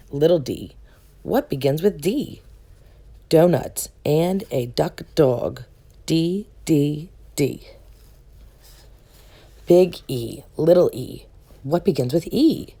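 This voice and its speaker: Slow, sing-song woman reading to children. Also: deep voice, dark tone, husky. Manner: deliberate, sing-song phrasing with questions and repeated letters. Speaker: children's book reader. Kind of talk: read-aloud of a rhyming alphabet picture book.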